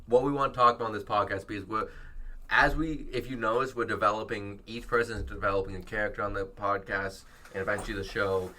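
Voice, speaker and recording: A man's voice: on the low side, steady tone, sharp tone. A young man hosting a casual student podcast in a small studio, rambling and joking, his voice a bit worn from being sick. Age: young adult. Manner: rambling, jokey, quick laughs. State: losing his voice from illness. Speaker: podcast host. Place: small college radio studio.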